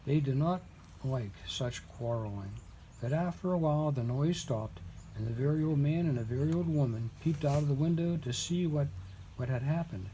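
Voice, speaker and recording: Mellow man reading a storybook. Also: a little high, expressive, moderate pace, a little hiss or hum, rough recording. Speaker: father reading aloud.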